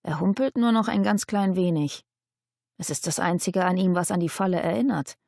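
A woman's voice: on the low side, normal speed, gravelly, a little mellow, no echo, studio quality. Woman acting a feisty, scornful young woman. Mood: grumbling scorn. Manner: blunt, scornful. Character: feisty, sharp-tongued young woman, indignant.

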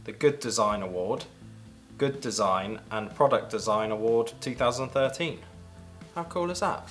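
A young British man co-hosting a casual kitchen gadget test, chatting with animated enthusiasm while he demonstrates. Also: lowish voice, moderate pace, faint music behind. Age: young adult. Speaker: co-host. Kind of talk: casual product-testing chat. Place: indoor home studio set at a table.

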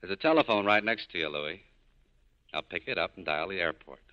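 An actor playing a cool, cynical nightclub owner in clipped, hard-boiled 1940s radio style. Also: male voice, deep voice, expressive, fairly quick, dull audio. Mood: firm. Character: tough, cool cynical male nightclub owner scheming an escape. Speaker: lead actor in a radio drama.

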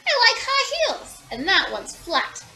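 A woman's voice: very high-pitched, huge pitch swings, slow, bright, forceful tone, soft background music, pitched above the performer's own voice, heavily acted. A woman voicing a vain, haughty storybook animal that preens about its looks. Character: vain animal fond of high heels.

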